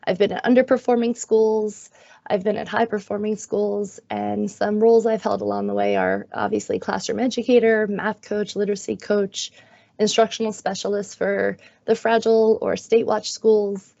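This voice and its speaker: A middle-aged woman with a raspy, hoarse voice from being sick, presenting in a friendly, self-deprecating way on a video call. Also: medium pitch, moderate pace, warm tone, dry recording. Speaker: guest presenter, magnet schools coordinator. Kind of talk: webinar presentation. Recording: dull, dry video-call audio.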